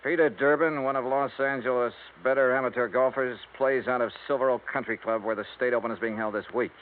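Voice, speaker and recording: An actor playing a hardboiled insurance investigator, narrating and talking in a dry, sardonic, laconic way. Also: male voice, medium pitch, steady tone, quick pace, a little hiss or hum, natural voice. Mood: sly. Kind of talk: 1950s radio drama narration and dialogue. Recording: tinny 1950s radio with music.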